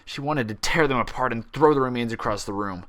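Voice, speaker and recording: A man's voice: mid-range voice, fairly quick, fairly bright tone. A man reading a fan fiction story aloud in dramatic audiobook-style narration.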